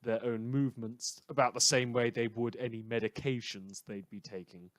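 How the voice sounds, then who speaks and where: A man's voice: mid-range voice, steady tone, moderate pace, no echo, natural voice. Man playing a sardonic radio newscaster, dry close sound. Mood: wry, mock-solemn. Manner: wry, theatrical, conversational asides. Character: sardonic, rambling madman community radio newscaster in fiction. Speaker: radio news host. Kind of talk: satirical scripted radio news monologue.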